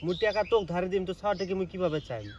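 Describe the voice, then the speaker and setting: A man's voice: sits high, very fast, lightly acted. A man acting a gullible, puzzled young villager in a comedy sketch, doubtful and protesting, with a northern Bengali regional dialect. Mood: hesitant. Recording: noisy outdoors with birdsong.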